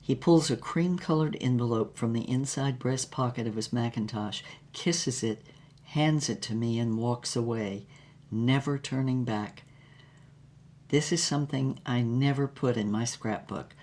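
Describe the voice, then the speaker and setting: A woman's voice: extremely low pitch, unhurried, mellow. A woman playing a wistful, heartbroken former actress who narrates her lost love in an audio drama with dramatic, lingering melancholy. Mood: tender, sorrowful.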